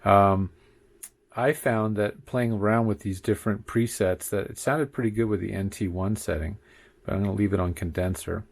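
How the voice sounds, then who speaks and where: A man's voice: fairly low pitch, moderate pace, creaky. A man giving a casual tech tutorial in his home studio, talking into a condenser mic on a desk arm and counting off mic checks. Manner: relaxed, chatty, frequent mic checks and counting. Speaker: tech reviewer. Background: faint computer hum.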